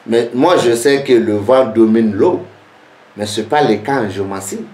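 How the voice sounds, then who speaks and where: A man teaching geomancy in French, which is not his first language, speaking slowly and repeating rhetorical questions. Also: medium pitch, a little mellow, reverberant. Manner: slow, repetitive, rhetorical questions. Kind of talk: spoken lesson to camera.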